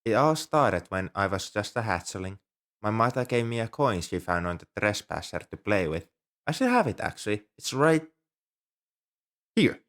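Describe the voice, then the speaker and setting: A man's voice: lowish voice, sing-song, normal speed, a bit bright. A man playing an ancient, polite, nerdy dragon who collects coins, speaking earnestly and a little primly to the listener in an ASMR roleplay. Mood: proud, fond. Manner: polite, earnest, slightly prim and scholarly. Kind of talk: ASMR roleplay, one-sided dialogue with the listener.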